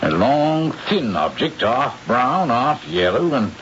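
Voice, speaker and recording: An actor playing a puzzled, reluctant English gentleman of a manor, speaking in a measured way in a 1970s radio drama. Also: medium pitch, sing-song. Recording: muffled vintage radio broadcast, faint noise.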